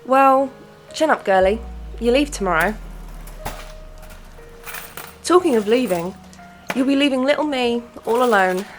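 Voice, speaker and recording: A woman's voice: fairly high pitch, animated, a little slow, long pauses, faint music behind. A woman playing a young female best friend in a radio play. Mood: playful.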